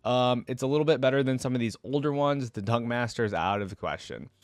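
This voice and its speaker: A man's voice: medium pitch, quick pace, dry recording, studio quality. A man hosting a casual reaction video, reading from a wiki aloud and adding off-the-cuff conversational asides. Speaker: reaction video creator and host.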